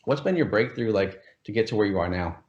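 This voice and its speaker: A man's voice: fairly low pitch, expressive, fast talker, a little mellow, roomy sound, muffled recording. A man hosting an interview, talking enthusiastically and filling his speech with "like" and "you know". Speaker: course creator, interview host. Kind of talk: casual interview over a call.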